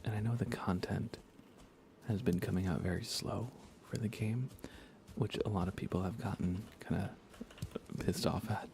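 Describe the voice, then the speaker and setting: A soft-spoken man chatting casually on an ASMR gaming livestream, relaxed and often slipping into a whisper. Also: low voice, normal speed, vocal fry, noisy background. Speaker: livestreamer and host.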